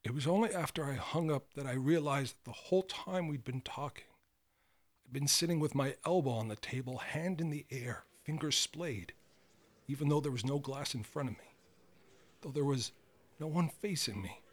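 An actor playing a remorseful, dying old man who confesses haltingly in an audio drama monologue. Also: mid-range voice, natural voice. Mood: resigned. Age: older.

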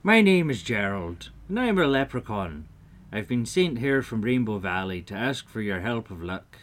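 A man's voice: on the low side, big pitch swings, normal speed, fairly bright tone, voice pushed up. A man voicing a polite, merry little leprechaun in a storybook tale, with courteous, exclamatory speech. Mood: earnest.

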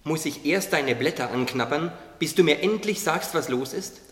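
A man's voice: fairly high pitch, expressive, normal speed. Man playing a wise caterpillar in an echoey hall. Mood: teasing. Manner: earnest, explanatory, gently teasing. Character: wise, teasing caterpillar confidant, calmly preaching hope. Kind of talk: German religious audio drama acting. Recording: echoey reverberant hall, music underneath.

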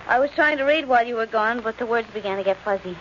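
A woman's voice: fairly high pitch, fast talker, lightly acted. A woman playing a level-headed, caring female companion to a detective, practical and a little hesitant, in 1940s radio style. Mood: casual, concerned. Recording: noisy, muffled old-time radio recording.

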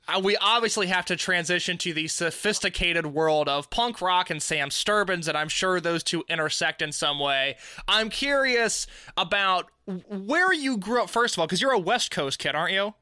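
A young man with a very bright, high voice hosts a casual chat, cracking jokes and wandering before he lands on a curious question. The sound is dry and close. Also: expressive, normal speed, clear, resonant tone, dry recording. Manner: joking, rambling, curious questions. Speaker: podcast host.

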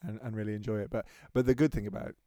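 A man's voice: fairly low pitch, very fast, warm tone, no room sound, clean studio sound. A man in his thirties from England, co-hosting a podcast and telling stories in a chatty way with frequent laughter. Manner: chatty storyteller, laughs often. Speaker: podcast co-host. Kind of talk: casual chat.

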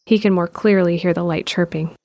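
A woman narrating an audio drama in a calm, measured way. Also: on the low side, noisy background.

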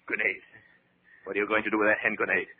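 An actor playing a bullying, secretly terrified German officer in a 1940s radio horror drama, barking commands insistently. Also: male voice, on the low side, completely flat delivery, fast, close to an everyday voice. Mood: alarmed, commanding. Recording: scratchy, narrow-band 1940s radio recording.

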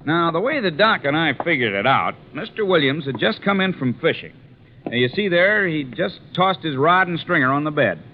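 An American actor playing a talkative small-town police sergeant in 1950s radio drama, plain-spoken and opinionated. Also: male voice, fairly high pitch, big pitch swings, moderate pace. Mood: matter-of-fact. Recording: tinny, noisy 1950s radio recording.

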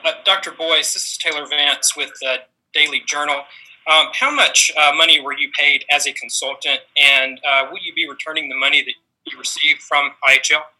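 A man's voice: a little high, fairly even intonation, moderate pace, sharp tone, rough recording. A man working as a newspaper reporter, putting direct, probing questions on a press call.

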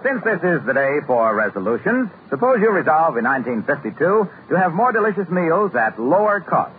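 Old time radio ad guy, 50s announcer, very lively intonation, tinny with some hiss. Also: on the high side, fairly quick. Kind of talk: 1950s radio sponsor announcing.

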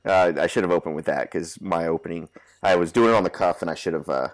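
A man's voice: deep voice, fast talker, rough recording. A nasal man with a cold, hosting a podcast in a loose, rambling chat full of fillers. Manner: rambling, many fillers and restarts. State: stuffy from a cold. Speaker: podcast host. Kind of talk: casual chat.

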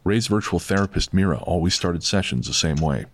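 Very low-voiced male horror narrator. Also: lively intonation, brisk. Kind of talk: scripted horror audio drama narration.

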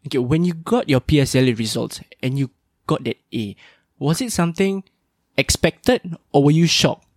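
Young male podcast host, slightly high voice, dry close sound, upbeat and chatty. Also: lively intonation, normal speed, dry recording. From Singapore. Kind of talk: casual interview chat.

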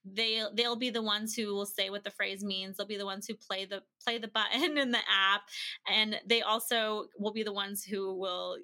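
A woman's voice: mid-range voice, steady tone, moderate pace, sharp tone. A woman speaking as a podcast guest, giving chatty, practical advice with everyday examples and acted-out bits of dialogue. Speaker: podcast guest, language-learning educator. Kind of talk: casual conversational advice.

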